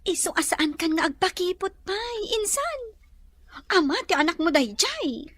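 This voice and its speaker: Lively, high-pitched teasing woman friend played by an actress. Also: moderate pace, no echo, full character voice. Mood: playful, prodding. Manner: teasing, animated. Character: teasing, nosy female confidante, playful and pushy. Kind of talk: Ilocano radio-style drama acting.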